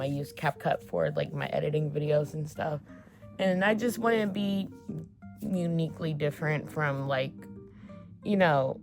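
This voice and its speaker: A woman in her twenties chatting casually to her camera in a get-to-know-me vlog, full of fillers and offhand swearing. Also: deep voice. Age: 20s. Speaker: vlogger.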